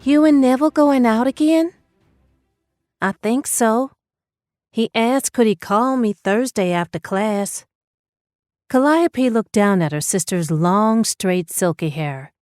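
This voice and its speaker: A woman's voice: a little high, slow, darkish tone, no echo, studio quality, soft background music. A woman narrating fiction for an audiobook, reading prose and acting out the dialogue. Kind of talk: scripted story narration with acted dialogue.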